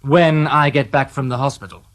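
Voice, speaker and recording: A man's voice: mid-range voice, fairly even intonation, normal speed, a bit bright, natural voice. An actor playing a skeptical male police inspector with a dry, sardonic manner in a radio drama. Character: wry, skeptical middle-aged male detective.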